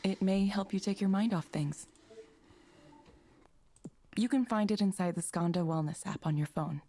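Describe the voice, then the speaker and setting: A woman voicing a calm, courteous AI counselling program in a visual novel, in a measured, polite, scripted manner. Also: mid-range voice, close to an everyday voice.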